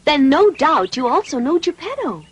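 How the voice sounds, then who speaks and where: A woman voicing a kindly, gracious female dove in a dubbed cartoon, speaking in a gentle, theatrical way. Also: high voice, sing-song, normal speed, dry recording. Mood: gentle inquiry.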